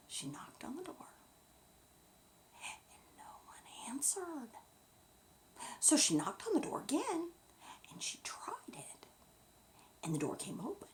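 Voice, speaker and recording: A woman narrating a bedtime story in a dramatic, expressive, unhurried way. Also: high voice, creaky.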